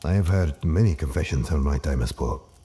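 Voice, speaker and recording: An actor playing a gentle, patient, good-humoured old Pope in an audio drama. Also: male voice, extremely low pitch, fairly quick. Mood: calm, curious. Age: older.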